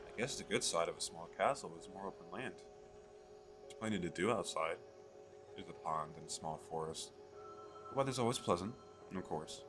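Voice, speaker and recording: A man playing an eager, chatty fantasy merchant in an ASMR roleplay, pitching his magical wares to the listener in a coaxing, conversational way. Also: moderate pace, pauses often, music in the background, close to an everyday voice. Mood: candid, musing. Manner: coaxing salesman, conversational, hesitant asides.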